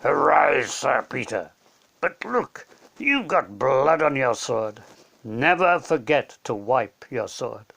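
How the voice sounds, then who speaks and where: A great storybook lion, kingly and solemn, voiced by a man. He speaks with calm authority in a measured, deliberate way. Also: mid-range voice, creaky, exaggerated voice.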